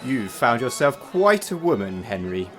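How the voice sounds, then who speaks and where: A jovial Victorian gentleman doctor, acted by a man, teases his friends with extremely animated pitch. Violin music plays clearly behind him, and the delivery is theatrical. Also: measured pace. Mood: teasing. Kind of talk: theatrical period drama acting.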